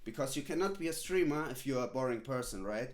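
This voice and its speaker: A man's voice: medium pitch, animated, fast. Rambling streamer man. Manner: rambling, filler-heavy, repeats "right?" and "literally". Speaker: streamer coaching a viewer. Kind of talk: casual live-stream chat.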